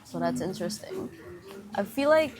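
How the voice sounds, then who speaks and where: A woman's voice: medium pitch, very animated, moderate pace, music in the background. A young woman from Indonesia with an American accent, speaking candidly as a podcast guest and using many casual fillers. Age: young adult. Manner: candid, thoughtful, frequent fillers like "you know" and "like". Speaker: guest, Indonesian language teacher and content creator.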